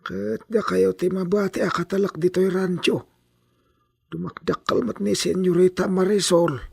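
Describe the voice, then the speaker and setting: A man's voice: high-pitched, steady tone, moderate pace, vocal fry, a little mellow, breathy edge, no echo, clean studio sound, heavily acted. An actor with an Ilocano accent playing a humble, hesitant old herdsman in a radio drama. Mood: wistful, fond. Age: older.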